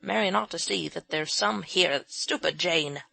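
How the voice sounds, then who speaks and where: A woman voicing a fussy, irascible old gentleman who scolds loudly, in a storybook reading. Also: on the low side, unhurried, gravelly, exaggerated voice. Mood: fussing. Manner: blustering, loud scolding.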